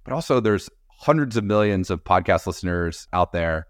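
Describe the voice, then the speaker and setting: A man's voice: low voice, animated, moderate pace, dry recording, clean studio sound. A middle-aged man talking casually and reflectively as an interview guest, rambling a little with many hedges and "you know"s. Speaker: interview guest, podcast industry executive.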